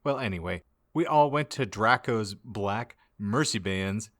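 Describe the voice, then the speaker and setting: A man with a fairly low, forceful voice narrates a fan-fiction story for comedy. He takes it very slowly and reads every misspelled word exactly as written. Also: lively intonation. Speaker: podcast host reading as narrator.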